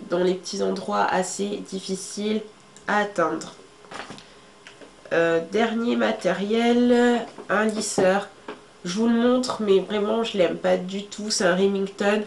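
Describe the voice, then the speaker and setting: A woman's voice: medium pitch, gravelly, a little mellow. Chatty woman with a cold, echoey room. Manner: chatty, many fillers, shows items to camera. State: congested with a cold. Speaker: beauty vlogger. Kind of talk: casual how-to talk to camera. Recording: echoey room with faint ambient noise.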